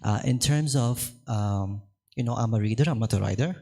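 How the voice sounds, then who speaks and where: A man from Sudan in the audience, thanking the panel politely and asking a halting question. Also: fairly low pitch, vocal fry, warm tone.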